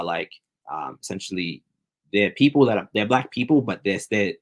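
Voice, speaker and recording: A man in his twenties, from Zimbabwe and raised in New Zealand and Australia, telling his life story as a podcast guest in an earnest, talkative way. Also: low voice. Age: 20s. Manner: earnest, talkative, with frequent restarts. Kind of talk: casual interview storytelling.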